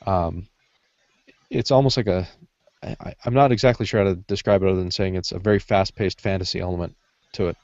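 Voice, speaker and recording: A man chatting casually on a podcast panel, halting often and restarting as he searches for words. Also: low voice, steady tone, a little mellow, dry recording, lo-fi sound. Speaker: podcast panelist discussing writing craft. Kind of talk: casual unscripted chat.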